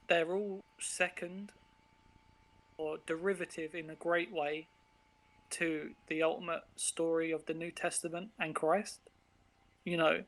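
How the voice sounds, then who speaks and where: A man's voice: on the high side, pushed and bright, low-quality recording. A man in casual, reflective conversation as an interviewed author, rambling informally and searching for words. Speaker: interviewed author of an audio drama.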